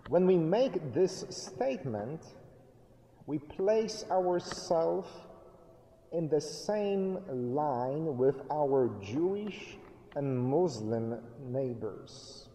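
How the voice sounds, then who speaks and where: Slow, deliberate middle-aged preacher in a church. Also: male voice, fairly high pitch, animated, dark tone, a little hiss or hum. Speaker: pastor. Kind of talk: sermon. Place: church sanctuary, indoors, at a lectern. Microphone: fixed camera at a distance. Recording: reverberant church hall.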